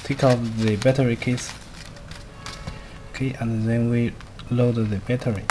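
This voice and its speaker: A man who speaks English as a second language, giving a halting step-by-step product demonstration in short, simple phrases. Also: mid-range voice, level tone, music in the background. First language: not a native English speaker. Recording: clearly noisy amateur recording.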